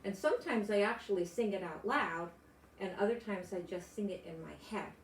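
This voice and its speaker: An older woman, a grandmother storyteller, reading aloud and chatting to young children in gentle, simple phrases. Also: mid-range voice, a little fast. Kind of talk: children's read-aloud and chat to young listeners. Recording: echoey room with background noise.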